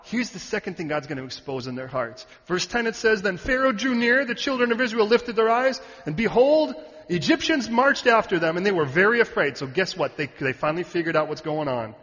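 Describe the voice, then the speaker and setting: A man preaching a sermon, animated and joking with the audience, sometimes shouting out a word. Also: sits high, a little fast, few pauses. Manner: animated, shouting at peaks, jokey asides to the audience. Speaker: pastor. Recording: muffled hall recording.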